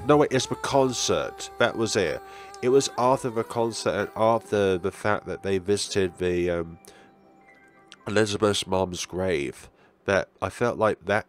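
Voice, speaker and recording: A man talking in an earnest, reflective, halting way as a gaming channel host. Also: lowish voice. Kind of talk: reading visual novel text, reflective commentary.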